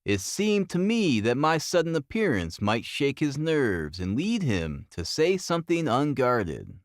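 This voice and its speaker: A man playing an earnest Victorian police detective, animated pitch. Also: no room sound, clean studio sound. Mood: grave, tense. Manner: formal, deliberate storytelling. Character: earnest, methodical male Victorian police detective giving his report.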